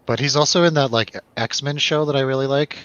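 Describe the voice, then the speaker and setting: A man with a bright, forward voice talks casually about films among friends. He is informal and prone to exclaiming and restarting. The sound is dry and a little dull. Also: medium pitch, a little fast, clear, resonant tone, no room sound. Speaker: film review show host. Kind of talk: casual film discussion with friends.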